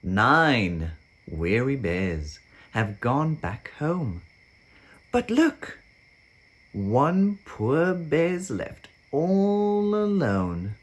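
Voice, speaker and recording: A man reading a children's picture book aloud as narrator, with expressive sing-song, deliberate phrasing. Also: medium pitch. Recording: faint background noise with sound effects.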